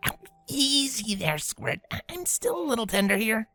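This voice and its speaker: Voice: mid-range voice, very animated, moderate pace, sharp tone, no room sound, voice pushed up. A narrator voicing a brash young pegasus mare with a casual, plain-spoken, tomboyish manner. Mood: casual, friendly.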